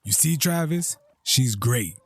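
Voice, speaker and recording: A man playing a firm but loving country father in an audio drama, with a husky voice and a plain, authoritative way of talking. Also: mid-range voice, lively intonation, slow pace, full character voice. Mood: easygoing, affectionate.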